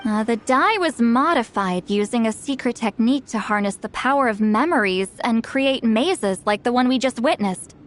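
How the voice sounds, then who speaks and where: An actress playing a sharp young woman investigator, dry and teasing in a game. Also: a little high, expressive, moderate pace, few pauses, slight background noise, natural voice. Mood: explaining.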